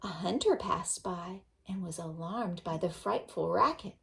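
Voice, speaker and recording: A woman's voice: lowish voice, a little slow, gravelly, fairly bright tone. A woman teacher reading a storybook aloud expressively, with questioning, instructive asides.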